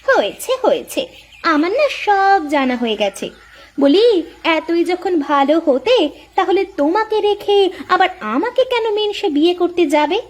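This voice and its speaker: Woman voicing a shrill-pitched nagging young wife. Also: animated, moderate pace. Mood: scornful. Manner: nagging, sarcastic. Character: sharp-tongued, jealous younger village wife. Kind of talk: moral folk-tale cartoon voice acting.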